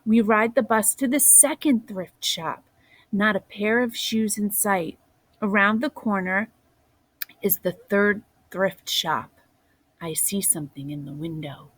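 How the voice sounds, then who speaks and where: A woman reading a children's picture book aloud in a deliberate way, as a teacher does for young listeners. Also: medium pitch, fairly even intonation, fairly bright tone, breathy edge. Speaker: storybook reader, teacher.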